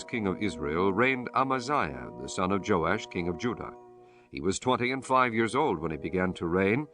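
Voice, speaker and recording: A man reading scripture as an audiobook narrator in a solemn, measured, formal manner. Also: fairly low pitch, fairly bright tone.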